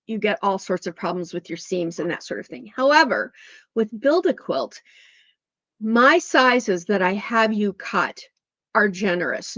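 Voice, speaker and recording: A woman's voice: medium pitch, fairly bright tone, no room sound. Chatty woman quilting teacher, slightly scratchy. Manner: chatty, digressive, self-correcting. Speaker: quilting instructor. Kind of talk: chatty sewing tutorial. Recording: dull lo-fi dry sound.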